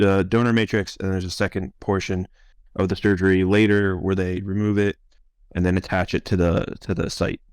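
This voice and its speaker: Male science officer for a Q&A call: low, crisp voice, informal, measured explanations, close dry sound. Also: dry recording. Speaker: chief science officer answering donor questions.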